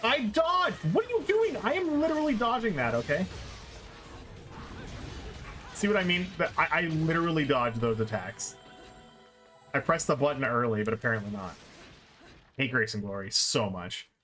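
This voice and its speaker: A man's voice: on the high side, lively intonation, a little fast, a bit bright. A man streaming a game with casual, explanatory commentary and exasperated asides. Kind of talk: casual live gameplay commentary. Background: game music.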